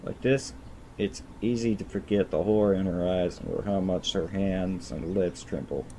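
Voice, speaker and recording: A man's voice: deep voice, moderate pace, mellow, a little hiss or hum. A man streaming a game, reading its narration aloud and often stumbling over and repeating words.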